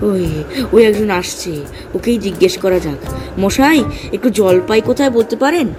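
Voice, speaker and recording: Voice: medium pitch, lively intonation, normal speed, lightly acted. A performer in a comic audio drama playing a weary, thirsty male traveller who is polite but exasperated. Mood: weary, parched. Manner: pleading, exasperated, polite address. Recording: music behind the voice.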